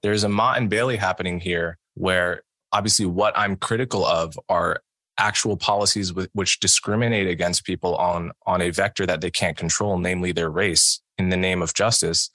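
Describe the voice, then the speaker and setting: A man with a very low, bright-edged voice argues his position in a formal debate. His delivery is pointed and argumentative, and the sound is dry and close. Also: steady tone, moderate pace, no room sound. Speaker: podcast host and debater.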